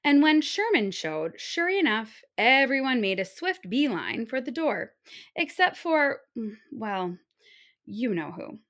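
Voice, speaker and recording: A woman reading a children's storybook aloud, narrating in an expressive, deliberate manner. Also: a little high. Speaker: storybook reader.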